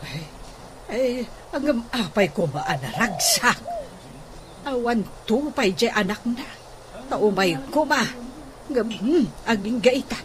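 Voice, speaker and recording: A woman playing an excitable older household maid in a comedic radio drama, with a melodramatic, exclaiming way of talking. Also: a little high, takes it slowly, long pauses, crowd noise. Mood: gossipy, disapproving. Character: excitable older household maid fretting over unpaid wages. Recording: noisy radio drama recording.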